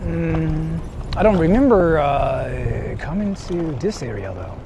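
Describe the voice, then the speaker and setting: A man rambles casually to his livestream viewers in a fairly high, animated voice. His delivery is jokey and loose. He is recorded outdoors, with clear traffic and city noise around him. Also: slow. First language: Japanese. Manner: jokey, rambling, reading and answering chat. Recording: noisy outdoor street with traffic. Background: cars and urban street noise.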